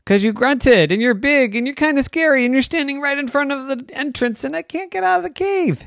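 A performer voicing an excitable high-pitched little girl adventurer. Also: fast talker, no echo, studio quality, lightly acted. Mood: nervous. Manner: exclamatory, wide-eyed. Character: excitable, curious young girl adventurer, easily startled.

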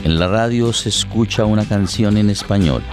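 A man narrates a radio drama in a fairly low voice. His scripted, dramatic delivery sits over clear guitar music. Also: moderate pace.

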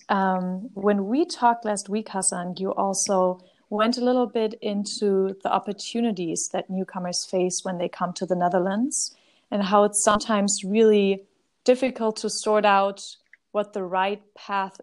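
A woman's voice: medium pitch, steady tone, unhurried. A woman hosting a podcast, introducing and questioning her guest in a conversational style. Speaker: podcast host.

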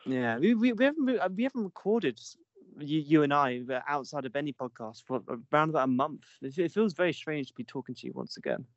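A man's voice: a little high, expressive, quick pace, dry recording, lo-fi sound. A young man hosting a podcast in casual chat, jokey and self-mocking, often stumbling and restarting his words. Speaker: podcast host.

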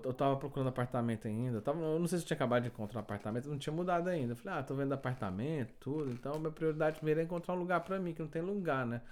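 Fast-talking Brazilian man telling stories. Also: on the high side. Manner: animated, laughing, many tag questions. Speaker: guest telling his immigration story. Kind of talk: casual storytelling chat.

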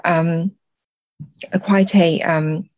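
A woman explaining things as an interview guest, thoughtful and measured, pausing between phrases. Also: lowish voice, steady tone, darkish tone, no echo. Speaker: podcast guest, translator.

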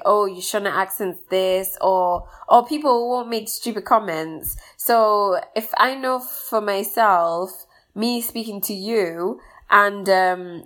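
A woman from Zimbabwe with a Mancunian accent, chatting casually and animatedly with friends, laughing often. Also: mid-range voice. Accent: Mancunian English. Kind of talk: casual chat.

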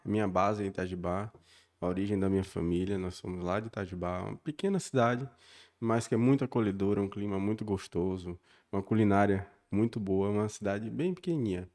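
Earnest Bahian man in his forties, low voice. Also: gravelly. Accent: Bahian Brazilian Portuguese. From Bahia, Brazil. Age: 40s. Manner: warm and earnest, frequent tag questions. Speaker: podcast guest. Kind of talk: casual interview storytelling.

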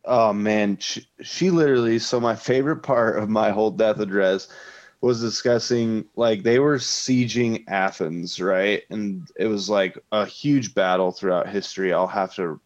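A man's voice: on the low side, measured pace. A man chatting casually as a podcast guest, telling stories in slangy, profane language over a dull, lo-fi online connection. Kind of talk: casual chat, animated storytelling. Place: online voice chat. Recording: dull lo-fi voice chat audio.

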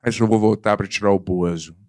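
A man's voice: fairly low pitch, fairly even intonation, fast, darkish tone. A man co-hosting a live podcast, chatting and teasing in a playful joking way. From Portugal. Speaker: podcast co-host. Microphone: studio microphone.

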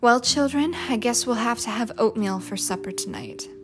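A woman voicing a tired, modest mother in an audiobook, decisive yet gently resigned. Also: fairly high pitch, moderate pace, natural voice. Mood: practical. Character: tired, modest, fair-minded mother of two children. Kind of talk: audiobook character dialogue.

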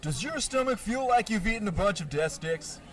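A man's voice: high voice, animated, normal speed, a bit bright, distant mic. A man voicing an upbeat, salesman-like announcer in a parody advertisement. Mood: upbeat, selling. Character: upbeat radio commercial announcer.

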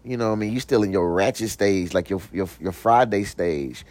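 A man's voice: a little fast, darkish tone, dry recording. A young man hosting his own podcast, talking loosely with lots of slang and "you know what I'm saying", joking around as he goes. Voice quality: voice recently got deeper. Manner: jokes around, puts on silly voices. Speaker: podcast host. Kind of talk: casual motivational talk.

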